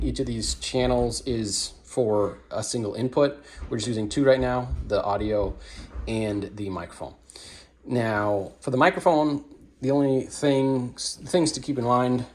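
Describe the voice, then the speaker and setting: A man giving a casual how-to demonstration on audio setup for dance classes, relaxed and rambling with blunt opinions. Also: mid-range voice, a little hiss or hum. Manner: relaxed, rambling, many fillers and restarts. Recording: echoey room.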